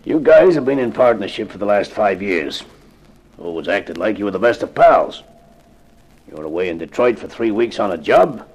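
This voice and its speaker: An actor playing a suspicious, sarcastic police lieutenant who probes and insinuates, in a radio crime drama. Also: male voice, on the low side, sing-song, moderate pace, a little mellow, slightly rough, heavily acted. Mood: suspicious, needling. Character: suspicious, needling hard-boiled male police lieutenant. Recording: noisy old 1950s radio recording.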